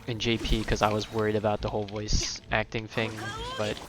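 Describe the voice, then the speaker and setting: A man's voice: fairly low pitch, completely flat delivery, fairly quick. A man live streaming a video game, thinking aloud in casual, rambling commentary that often trails off. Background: video game music.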